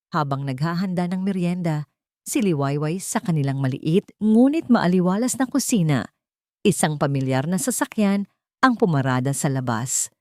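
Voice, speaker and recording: A woman reading a scripted love story as a narrator, in a dramatic, sentimental and expressive way. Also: low voice, no echo, clean studio sound.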